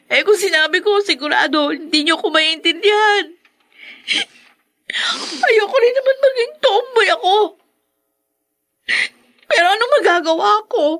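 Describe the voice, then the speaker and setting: An actress playing a distressed, defensive grown daughter in a radio drama, pleading emotionally with a Filipino accent. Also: female voice, very high-pitched, moderate pace, pauses often, a bit bright. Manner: plaintive, emotional. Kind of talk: Tagalog radio drama acting.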